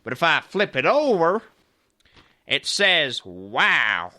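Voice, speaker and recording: A man's voice: a little high, huge pitch swings, slow pace, bright, forceful tone, heavily acted. A man playing a folksy, exasperated old west lawman keeping order in a comedic radio play. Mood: commanding.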